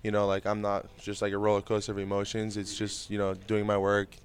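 Young male guest, native Spanish speaker, clear low voice, quick flat delivery. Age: young adult. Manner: relaxed, easygoing answers with many fillers. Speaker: interview guest, baseball prospect. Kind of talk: casual interview answers.

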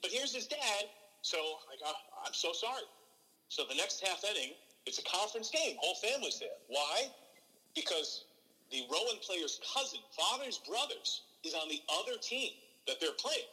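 Bright-voiced, earnest male broadcaster. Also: high-pitched, moderate pace, in a large hall, poor audio. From from New Jersey. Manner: earnest, animated, frequent restarts. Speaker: baseball play-by-play broadcaster, podcast guest. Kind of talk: relaxed interview storytelling.

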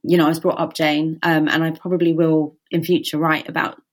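Thoughtful woman novelist, lowish voice. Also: flat delivery, fairly quick. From Britain. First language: Gujarati. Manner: thoughtful, with frequent restarts and "you know". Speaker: novelist guest. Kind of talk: conversational interview.

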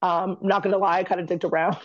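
Woman guest on a podcast, candid and chatty, talks fast, muffled lo-fi sound. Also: on the low side, level tone. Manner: candid, chatty, quick-talking. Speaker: podcast guest, former college basketball player. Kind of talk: casual interview chat.

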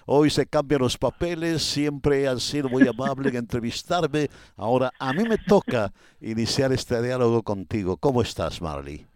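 A man's voice: mid-range voice, big pitch swings, normal speed, few pauses, a little mellow. A man hosting a podcast interview, introducing his guest with courteous, elaborate phrasing. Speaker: podcast host.

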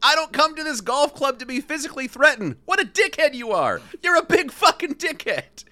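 An indignant golfer in his sixties, as a male storyteller puts him on, high and swooping. He rants, aggrieved and sweary, in a bright, forceful voice for a comic retelling. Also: big pitch swings, normal speed, no echo, full character voice. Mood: furious, indignant. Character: furious, indignant sixty-one-year-old male golfer. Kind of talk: dramatic comic quote reading.

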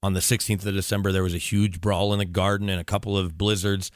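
A man's voice: low-pitched, little pitch movement, fast, no room sound, studio quality. An American man, a sports radio broadcaster guesting on a podcast, chatting casually and emphatically with lists and asides.

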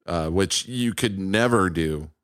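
A man's voice: deep voice, takes it slowly, fairly bright tone, no echo, studio quality. A man conducting a casual, conversational interview, putting questions and comments to a guest.

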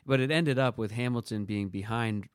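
A man's voice: mid-range voice, moderate pace, clear, resonant tone, dry recording, studio quality. A young man chatting casually on a podcast, rambling and laughing often. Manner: rambling, laughs often, does silly voices. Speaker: podcast co-host. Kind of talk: casual chat about motor racing.

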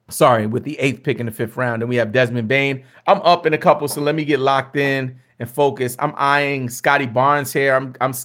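A young man, slightly hoarse, chatting casually with viewers as he hosts a fantasy basketball mock draft, often repeating himself. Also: medium pitch, fairly bright tone.

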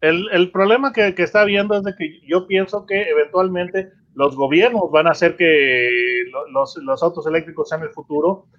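A man from Monterrey, Mexico, a car reviewer guest explaining at a measured pace with fillers over a video call. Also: a little high, muffled recording.

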